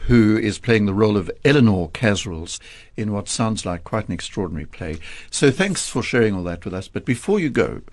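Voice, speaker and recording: Low-voiced male radio host, dry. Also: a little mellow, dry recording. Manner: conversational, many brief affirmations. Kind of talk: radio interview.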